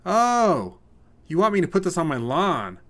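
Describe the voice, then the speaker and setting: A man's voice: sits high, sing-song, unhurried, a bit bright, voice pushed up, exaggerated voice. A man voicing a tired, cranky new homeowner in an audiobook story, dry and grumbling with a sarcastic edge. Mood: incredulous. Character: tired, cranky male newcomer, dryly sarcastic minimalist. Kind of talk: audiobook character voice.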